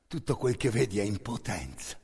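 A man performing an intense theatrical monologue, narrating in emphatic short phrases with a hoarse, gravelly voice. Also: on the low side, big pitch swings, normal speed, a little mellow. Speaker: stage actor narrating a monologue. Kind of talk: dramatic second-person theatrical narration.

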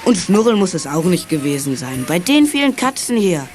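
A woman's voice: lowish voice, sing-song, normal speed, a little mellow, soft background music. A woman playing a casual, reassuring schoolboy brother and young detective in a children's radio play.

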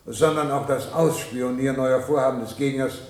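A formal, authoritative man, played by an actor, as a bureaucratic project director in a 1970s radio play. He lectures in measured, smoothly evasive phrases, with a roomy echo around his voice. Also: mid-range voice, fairly even intonation, natural voice. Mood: firm, justifying. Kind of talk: 1970s German radio drama acting, formal address.

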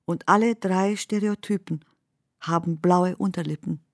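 A woman performing as the narrator of surreal, dreamlike poetic prose in a radio play, speaking in a measured, deliberate manner. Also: lowish voice, steady tone, no echo, studio quality, close to an everyday voice.

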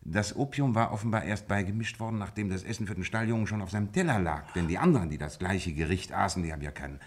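Shrewd, low-voiced detective. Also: male voice, breathy edge. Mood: explaining, assured. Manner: measured, methodical, articulate. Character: shrewd, self-assured male detective explaining his deductions. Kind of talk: German radio play acting.

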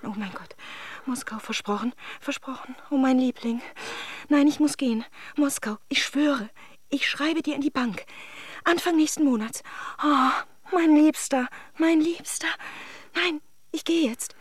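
An anxious married woman in a radio drama, torn by her secret lover. Her high voice is agitated, halting and repetitive. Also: no echo. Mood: torn, pleading.

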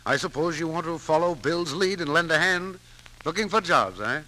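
A gruff, principled businessman with a very sharp, forceful voice pitched a little high. He speaks bluntly and emphatically in the manner of 1940s radio drama. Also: animated, moderate pace, slight background noise, rough recording. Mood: stern, sarcastic. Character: gruff, blustering, principled businessman with a soft heart. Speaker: actor. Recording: 1940s radio broadcast recording.

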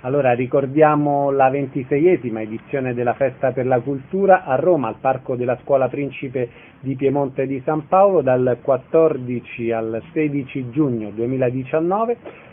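A man hosting a radio interview show, asking questions and making announcements in an orderly, formal way. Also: mid-range voice. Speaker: radio host. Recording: narrow, phone-like radio sound.

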